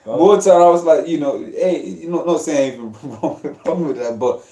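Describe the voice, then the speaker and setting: Brief: male panelist, lively slang, laughs a lot, slightly raised pitch, roomy far-camera sound. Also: moderate pace. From Zimbabwe. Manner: animated, laughing, slangy banter. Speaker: discussion panelist. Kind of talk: casual group discussion. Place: living room, men on a sofa. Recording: echoey room.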